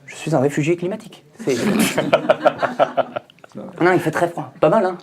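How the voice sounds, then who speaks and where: A man's voice: on the high side, normal speed, noisy background. A man from France doing a stand-up routine on a TV show stage, chatty and teasing the audience. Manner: jokey, conversational, teasing the audience. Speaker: stand-up comedian. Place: TV studio stage with live audience. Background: audience laughter.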